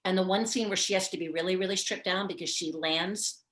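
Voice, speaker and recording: A woman's voice: on the low side, steady tone, fairly bright tone. Chatty woman producer in an echoey room. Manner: chatty, quick, laughing asides. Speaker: film producer, guest. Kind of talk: casual conversation. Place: living room with bookshelves. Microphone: video call, far camera.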